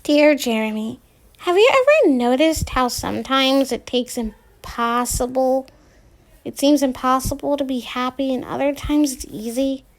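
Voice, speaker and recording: A woman's voice: high voice, unhurried, low-quality recording. A woman voicing a wry teenage girl who teases dryly and gets flustered when caught out. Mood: dreamy.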